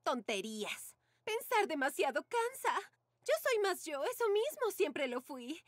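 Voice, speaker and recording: A woman's voice: very high-pitched, sing-song, a little slow, dry recording, studio quality, heavily acted. A woman playing a vain, dramatic young model and internet diva in a cartoon, whiny and self-absorbed. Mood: reflective, smug. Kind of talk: exaggerated cartoon dubbing.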